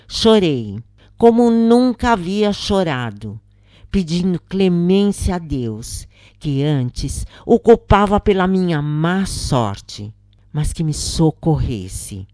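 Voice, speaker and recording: A woman with a Brazilian accent reading a scripted first-person spiritual account in a solemn, measured manner, with deliberate pauses. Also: deep voice, warm tone, no echo.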